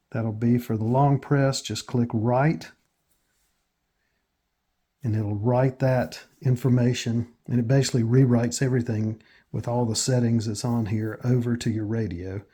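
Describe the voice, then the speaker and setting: An American man hosting a casual step-by-step tutorial in a plain, matter-of-fact way with hesitant pauses. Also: medium pitch, steady tone, dark tone, husky. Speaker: tutorial host.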